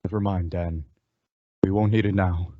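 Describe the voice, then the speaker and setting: A teenage man playing an earnest, measured masked cowboy hero in an old-time western radio drama. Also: low voice, fairly even intonation, no room sound, close to an everyday voice. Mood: solemn, resolved. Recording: dull, dry lo-fi radio recording.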